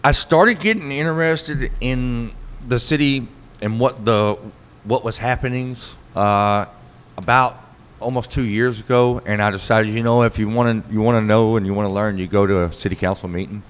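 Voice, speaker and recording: A middle-aged man with a loud, carrying voice, answering interview questions casually as a city council candidate at an outdoor bar stage. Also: medium pitch, fairly even intonation, normal speed, clean tone, long reverb tail.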